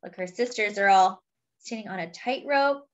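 A woman reading a picture book aloud to young children and commenting on the pictures, expressively and with pauses for emphasis. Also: medium pitch, highs cut off. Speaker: children's storybook reader.

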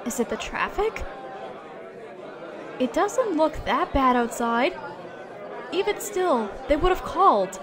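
A woman's voice: sits high, slow pace, long pauses, a crowd in the background, full character voice. A woman acting as a caring, protective best friend in an audio roleplay, speaking casually and emphatically. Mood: concerned, casual. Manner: expressive, emphatic, casual with mild swearing.

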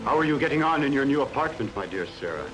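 A man's voice: mid-range voice, big pitch swings, quick pace, over music, close to an everyday voice, low-quality recording. A man playing a middle-aged, domineering, scheming king with imperious menace in a theatrical film dub. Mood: menacing, commanding. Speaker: actor in a fan-dubbed film. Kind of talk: theatrical sword-and-sandal film dubbing.

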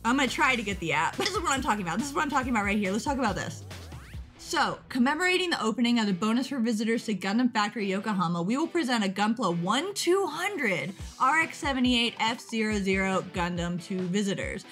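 An excitable young woman chatting at her webcam, jumping between thoughts and laughing at herself. Her voice sits a bit high and sounds tired. Faint music plays softly underneath. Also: quick pace. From United States. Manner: excitable, rambling, self-interrupting, laughs often. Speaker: model kit vlogger. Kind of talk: casual chat over a webpage.

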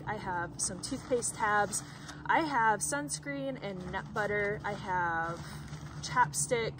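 A woman in her twenties showing her hiking gear in a casual, chatty way, full of "like" and "um", sitting outdoors in a sunny forest clearing. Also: mid-range voice. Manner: chatty, with frequent "like" and "um" fillers. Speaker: hiker and vlogger. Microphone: camera at a distance. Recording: distant outdoor mic with faint background noise.

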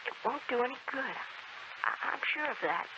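An actress in a 1940s American radio drama playing a frail, gentle dying young woman, speaking haltingly and wistfully with sweet resignation. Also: high voice, animated. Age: young adult. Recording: muffled, very noisy 1940s radio recording.

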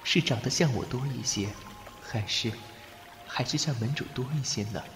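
A man acting a devoted, submissive subordinate in an ancient Chinese audio drama, speaking in a halting, emotional way. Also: mid-range voice, fairly bright tone, soft background music, close to an everyday voice. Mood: tender longing.

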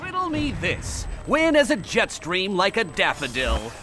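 A smug riddling villain. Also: male voice, high-pitched, huge pitch swings, a little slow, full character voice. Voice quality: smug, theatrical. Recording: game audio with music. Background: music.

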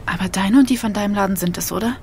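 A woman's voice: mid-range voice, rapid-fire, gravelly, darkish tone, slight background noise, close to an everyday voice. An actress playing a defiant, grieving young woman in an audio drama, blunt, sarcastic and slangy. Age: young adult. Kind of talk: naturalistic German audio drama acting.

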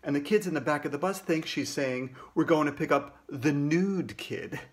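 A man's voice: fairly high pitch, lively intonation, moderate pace. A man in his sixties, a children's author, casually explaining trivia to kids.

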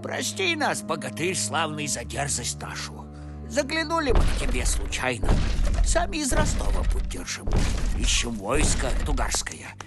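Old folksy villager for animation: excitable, grumbling, high-ish, hugely animated delivery. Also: male voice, moderate pace, loud music behind the voice. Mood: pleading. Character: feisty, grumbling, scrawny old village man. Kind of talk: animated film voice acting.

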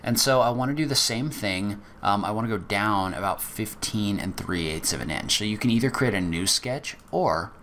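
A man teaching a software tutorial, explaining each step methodically in a casual way with occasional fillers. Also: on the low side, lively intonation, moderate pace, hardly any pauses, a little hiss or hum. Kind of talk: screen-recorded software walkthrough.

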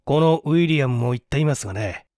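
A police detective, voiced by a man in an audio story, explains things in a measured, matter-of-fact manner. The voice has varied intonation and a dry, close sound. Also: mid-range voice, lively intonation, a little mellow, no echo, close to an everyday voice. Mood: grave.

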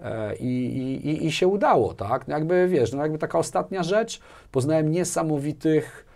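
A man's voice: a little high, animated, moderate pace, a little mellow. A man, talking casually as an interview guest, often repeating words and checking in with short tag questions. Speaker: interview guest, business manager. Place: small furnished indoor studio, seated. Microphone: lapel mic.

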